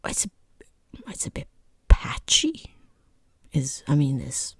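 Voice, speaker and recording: A person voicing a rude, condescending boss in a soft-spoken ASMR roleplay, with a breathy half-whisper, hesitant pauses and dryly mocking, falsely polite understatement. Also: medium pitch, sing-song, no room sound, heavily acted. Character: rude, condescending boss conducting a job interview.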